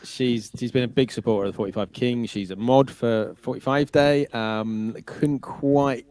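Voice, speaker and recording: A man's voice: medium pitch, fairly even intonation, normal speed, darkish tone. A man hosting a casual chat show, talking in a loose, rambling way with frequent restarts. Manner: rambling, with many fillers and restarts. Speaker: host.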